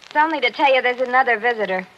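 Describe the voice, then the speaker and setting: An actress playing a cheeky, nosy young maid in a 1940s radio drama, from a muffled old broadcast. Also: female voice, a little high, expressive, rapid-fire, a bit bright, a little hiss or hum.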